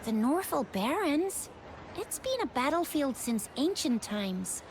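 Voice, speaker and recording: A woman's voice: high-pitched, big pitch swings, unhurried, darkish tone, a little hiss or hum, heavily acted. A woman playing a spirited, playful young game heroine with a theatrical, cheeky manner. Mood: serious. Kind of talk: video game voice acting.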